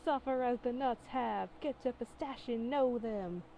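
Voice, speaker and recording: A woman's voice: on the high side, unhurried, vocal fry, mellow, slight background noise, pitched above the performer's own voice, low-quality recording. A woman voicing a mischievous prankster boyfriend in a fan fiction reading, dramatic and declaiming. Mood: gleeful fury. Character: mischievous, theatrical prankster boyfriend, a gleeful man.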